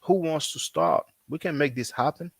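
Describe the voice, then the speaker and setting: A man who is not a native English speaker, talking casually as a language teacher, repeating himself often and filling pauses with "you know.". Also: a little high, big pitch swings, creaky, slightly rough, no room sound, clean studio sound. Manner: repetitive, conversational, frequent fillers. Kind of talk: casual promotional talk.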